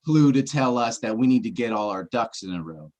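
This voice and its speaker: A man talks through a puzzle in a casual livestream chat, laying out each clue step by step and checking for agreement. His voice sits at an ordinary middle pitch, and now and then he slips in a self-deprecating joke. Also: expressive, fairly quick, darkish tone, dull audio. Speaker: treasure-hunt searcher presenting clues.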